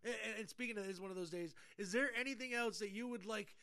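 Man, high pitch, bright forceful tone, jokey, profane, rambling podcast host. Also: quick pace, clean tone. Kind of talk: casual podcast banter.

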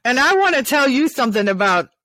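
A woman's voice: sits high, very animated, fast talker, a bit bright, no echo, poor audio. A woman hosting an interview podcast, enthusiastic and encouraging toward her guest. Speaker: podcast host.

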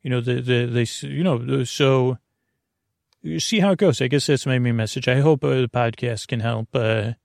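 A middle-aged man hosting a sleep podcast, talking in a meandering, goofy monologue full of asides and restarts. His voice is creaky. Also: medium pitch, moderate pace, a little mellow, dry recording, clean studio sound. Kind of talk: rambling bedtime monologue.

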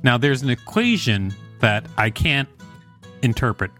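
A man's voice: medium pitch, big pitch swings, a little slow, a bit bright, faint music behind. A man co-hosting a podcast, reading aloud and chatting in a wry, conversational way. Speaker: podcast co-host. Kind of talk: reading an encyclopedia article aloud with casual banter.

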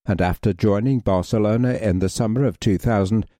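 A man reading a football history article aloud in a measured, even way. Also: fairly low pitch, no echo, clean studio sound. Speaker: reader.

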